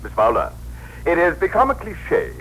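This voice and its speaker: Pompous male boss with animated intonation. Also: fairly high pitch, moderate pace, breathy edge, heavily acted. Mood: lecturing, unsentimental. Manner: precise, pompous, lecturing. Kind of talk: 1960s radio acting. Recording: faintly noisy old radio broadcast.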